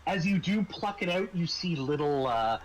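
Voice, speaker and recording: A man working as game master, improvising horror narration in a halting, conversational way. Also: a little high, lively intonation, slight background noise, low-quality recording.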